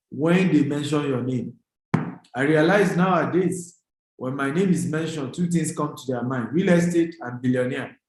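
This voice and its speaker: Very reverberant room, Nigerian man, higher-pitched voice, motivational seminar speaker, emphatic, exhorting, repetitive phrasing. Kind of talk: motivational seminar talk to an online audience.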